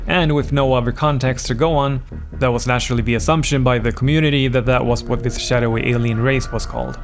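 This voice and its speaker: A man narrates a scripted lore piece, dropping wry jokes along the way. His voice sits in an ordinary middle register. Music plays clearly under him. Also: mid-range voice. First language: Swedish. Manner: wry, playful asides. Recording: voice-over with music bed.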